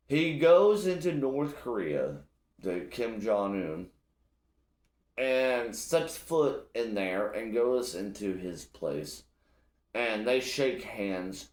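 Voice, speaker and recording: A man's voice: lowish voice, a little mellow, reverberant. A young man from Illinois hosting a solo podcast, ranting bluntly with lots of profanity. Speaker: podcast host. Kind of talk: casual solo podcast rant.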